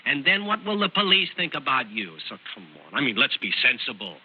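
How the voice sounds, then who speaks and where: An actor playing a smug, mock-polite blackmailer who talks casually and needles, in old-time radio drama style. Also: male voice, mid-range voice, big pitch swings, brisk. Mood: sly, coaxing. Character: sly smug blackmailing brother, narrating his own scheme. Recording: muffled, husky vintage radio recording.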